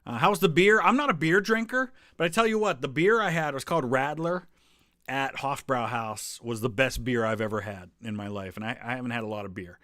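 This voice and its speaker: A man's voice: medium pitch, fairly quick, no echo. Rambling casual man on a dry mic. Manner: relaxed, rambling, many ums and restarts. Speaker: history channel host answering live chat. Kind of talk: casual live stream chat over travel photos.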